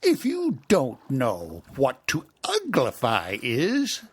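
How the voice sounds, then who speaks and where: A man doing a gruff, scolding beast. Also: mid-range voice, wildly swooping pitch, takes it slowly, pitched above the performer's own voice, exaggerated voice. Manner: brusque and scolding. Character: gruff, impatient winged male beast, scolding.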